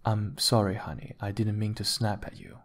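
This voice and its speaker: A man voicing an older husband and musician in an audiobook, measured and affectionate, firm but soothing as he chides his wife. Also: medium pitch, natural voice. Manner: gently chiding, measured, affectionate.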